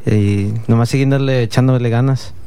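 A man's voice: medium pitch, steady tone, moderate pace, mellow, smooth. A man in his twenties, a band member, answering interview questions in an earnest, grateful way. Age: 20s.

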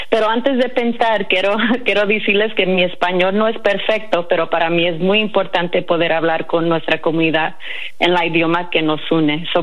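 Earnest woman on a dull phone line. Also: on the low side. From United States. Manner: earnest, mixes English words into Spanish. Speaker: civil rights organizer, guest. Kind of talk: phone interview.

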